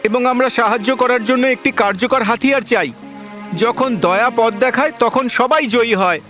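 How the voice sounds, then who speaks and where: Polite young cartoon prince, super high voice, earnest and formal, bit of music behind. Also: male voice, brisk, pushed and bright. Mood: friendly. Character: earnest, courteous young prince seeking wisdom. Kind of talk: animated fairy-tale dialogue.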